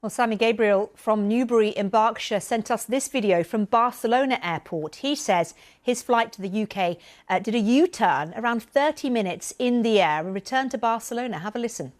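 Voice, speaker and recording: A woman's voice: fairly high pitch, normal speed. A woman presenting the news in a scripted broadcast style.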